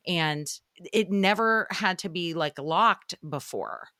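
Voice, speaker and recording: A woman podcast host chatting casually and lively with a guest, using lots of filler words and laughing along. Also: fairly low pitch, slow. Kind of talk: casual interview chat.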